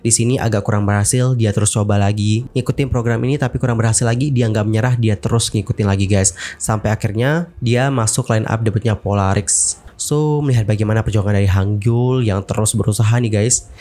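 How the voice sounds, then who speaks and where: A man's voice: fairly low pitch, fairly even intonation, a little fast, dark tone. Chatty young man with an Indonesian accent. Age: 20s. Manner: chatty, addresses viewers as guys. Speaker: K-pop news YouTuber. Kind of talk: casual news vlog narration.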